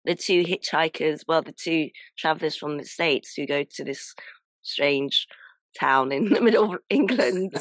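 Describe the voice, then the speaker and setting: Croaky-voiced woman hosting a chat. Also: low voice, a little slow, no room sound. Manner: laughs often, self-deprecating apologies. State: croaky voice from a sore throat. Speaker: podcast host. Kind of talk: casual podcast interview.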